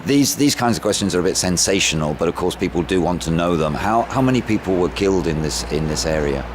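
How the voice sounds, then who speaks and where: Deep-voiced man interviewing amid outdoor noise. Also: expressive, fast talker. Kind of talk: informal on-site interview. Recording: noisy outdoor amateur recording with traffic.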